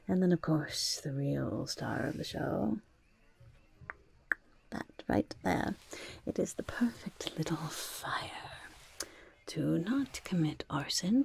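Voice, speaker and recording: A woman voicing a quirky, shifty goblin merchant in a whispered ASMR roleplay, breathy and crackly, with halting, drawn-out salesmanship and muttered asides. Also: lowish voice, mellow, full character voice. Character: quirky shifty goblin merchant peddling grotesque wares, eager and defensive.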